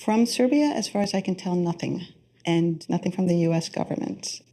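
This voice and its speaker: A woman lawyer speaking in a measured, deliberate interview manner. Her voice is low and mellow with a gravelly edge.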